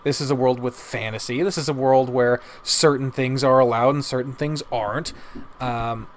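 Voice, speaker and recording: A man's voice: medium pitch, steady tone, moderate pace. Sweary, rambling man over background noise. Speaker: video host reviewing visual novels. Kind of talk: casual opinionated commentary.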